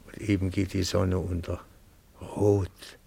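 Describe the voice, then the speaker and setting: Slow, gravelly, timid old bookkeeper. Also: male voice, low voice, monotone, warm tone, exaggerated voice. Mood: awed, savoring. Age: older. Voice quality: gravelly, husky, often breathy. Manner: deferential, pedantic, slow and careful. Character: timid, meticulous, reverent retired bookkeeper, small elderly man. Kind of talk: German radio play acting.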